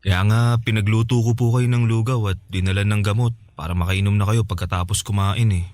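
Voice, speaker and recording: Actor as a devoted low-voiced son, radio drama. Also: male voice, steady tone, fairly quick, darkish tone, no room sound, lightly acted. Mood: concerned, coaxing. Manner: respectful, coaxing, affectionate. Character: devoted, gentle adult son caring for his mother. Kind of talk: Tagalog radio drama acting.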